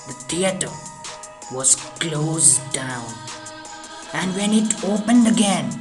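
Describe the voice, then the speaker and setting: A storyteller reading a scripted ghost story in a dramatic, deliberate manner. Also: mid-range voice. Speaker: storyteller, audiobook reader. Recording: music behind the voice. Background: music.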